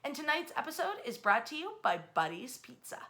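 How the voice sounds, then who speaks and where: A woman's voice: sits high, a little fast. An American woman hosting a history storytelling series, speaking in a casual, conversational and emphatic way. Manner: animated and emphatic, acts out short quotes.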